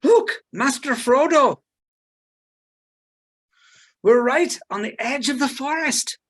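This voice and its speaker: A woman playing an earnest, anxious but brave hobbit servant in a script table read, pleading and excitable. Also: high-pitched, takes it slowly, husky, heavily acted. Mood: plain, earnest.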